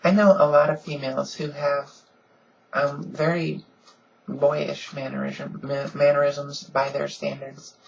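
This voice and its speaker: A woman with a deep voice she softens a little, talking earnestly and casually in a home vlog with lots of ums. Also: level tone, a little slow, gravelly, muffled recording. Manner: frequent "um"s, earnest and conversational. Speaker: vlogger. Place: at home, in pajamas.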